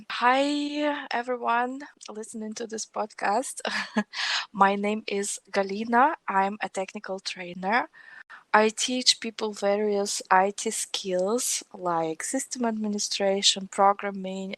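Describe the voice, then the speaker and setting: A woman's voice: mid-range voice, a bit bright, no echo. A woman in her thirties with a Russian accent, talking conversationally as an interview guest. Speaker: podcast guest, technical trainer.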